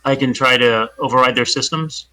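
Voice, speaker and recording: A man at a tabletop game who plans moves out loud and keeps asking questions. His voice is sharp and forceful, at a middle pitch. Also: normal speed, poor audio. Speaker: player. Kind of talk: tabletop game planning talk.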